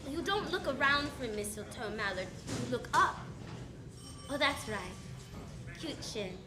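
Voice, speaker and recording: High-voiced wisecracking lady detective acted by a woman. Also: lively intonation, a little slow, music in the background. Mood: teasing, probing. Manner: sardonic, snappy, quipping hard-boiled delivery. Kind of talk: old-time radio detective acting.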